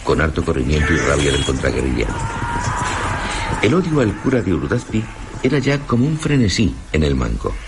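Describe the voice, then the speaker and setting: A man with a Castilian Spanish accent narrating a literary radio drama in a solemn, measured storytelling manner. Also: a bass voice, wildly swooping pitch, very noisy. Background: prominent music.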